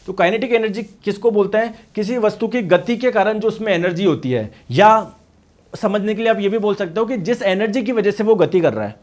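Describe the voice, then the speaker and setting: A male teacher with a high voice and a hoarse throat, giving a lively science lecture. He poses questions and answers them himself, and he gives every key term twice, once in Hindi and once in English. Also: a little fast. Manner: asks and answers his own questions; addresses students as friends. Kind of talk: online exam-prep class.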